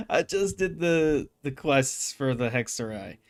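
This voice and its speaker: A young man streaming a game, reacting with excitable, slangy jokes and quick asides. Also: medium pitch. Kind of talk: casual reaction commentary.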